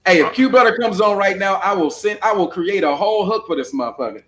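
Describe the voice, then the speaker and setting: A man's voice: high voice, lively intonation, fast, fairly bright tone, slight background noise. A man hosting a casual livestream panel discussion, talking bluntly and with plenty of profanity over a desktop microphone. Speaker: panel host.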